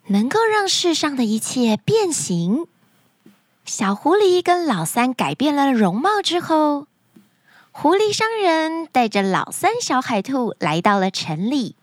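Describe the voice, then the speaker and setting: A woman's voice: sits high, no echo, studio quality. A woman hosting a children's story podcast, narrating a fairy tale expressively and dramatically. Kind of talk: animated scripted storytelling for children.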